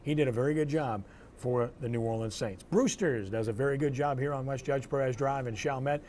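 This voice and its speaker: A man's voice: medium pitch, brisk, a little mellow, slight background noise. A man hosting a sports show, giving commentary and results in clipped, headline-style phrases.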